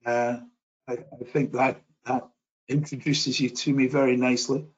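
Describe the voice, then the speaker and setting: A middle-aged man giving an online presentation in a modest, measured way, often restarting his sentences. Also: medium pitch, little pitch movement, lo-fi sound. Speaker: webinar presenter.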